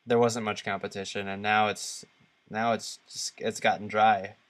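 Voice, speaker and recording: Halting man in casual podcast talk. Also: lowish voice, flat delivery. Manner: halting, searching for words. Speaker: podcast co-host. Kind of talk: casual discussion of video games.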